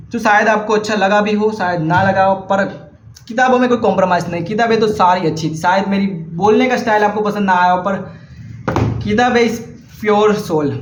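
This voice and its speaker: High-voiced Gujarati man, hesitant vlog talk. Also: a little hiss or hum. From Gujarat, India. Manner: hesitant, frequent restarts, earnest. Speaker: vlogger. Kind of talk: casual book recommendations. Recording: echoey, slightly muffled room recording.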